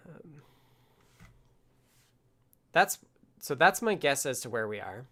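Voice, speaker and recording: Man thinking aloud, creaky voice. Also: mid-range voice, very animated, pushed and bright. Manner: halting, muttering to himself while working. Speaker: livestream host, programmer. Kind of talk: casual live coding stream, thinking aloud. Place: indoors at a desk with computer.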